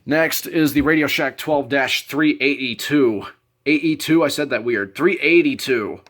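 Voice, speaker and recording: A man's voice: mid-range voice, unhurried, a bit bright. A man presenting his hobby collection in a casual show-and-tell style, talking from home.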